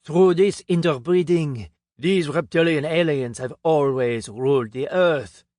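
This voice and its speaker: A pompous, jowly middle-aged Frenchman, put on by a male audiobook narrator, holds forth in a thick French accent. His voice rides high and loud, and he stretches his words out slowly and grandly. He sounds like a storybook showman. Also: no echo, clean studio sound, lightly acted. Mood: grandiose. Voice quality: theatrical, grandiose delivery.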